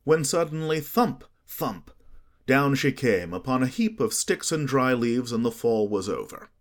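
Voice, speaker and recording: Animated male storybook narrator. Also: mid-range voice, a little slow. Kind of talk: scripted audiobook narration.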